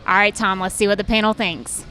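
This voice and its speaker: Bright-voiced woman reporting on location. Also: medium pitch, fairly even intonation, fairly quick. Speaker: roving sports show reporter. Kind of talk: presenting an audience question. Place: on location in a restaurant.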